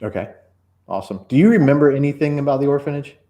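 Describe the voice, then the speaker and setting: A man's voice: mid-range voice, animated, fast talker, dark tone. A man in his forties hosting a casual church livestream interview, chatty and rambling with many fillers and restarts.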